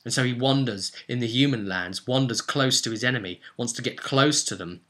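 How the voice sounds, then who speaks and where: A man's voice: medium pitch, a little fast, bright, forceful tone. A man narrating a tabletop fantasy game as game master, dramatic and intense, repeating phrases for emphasis.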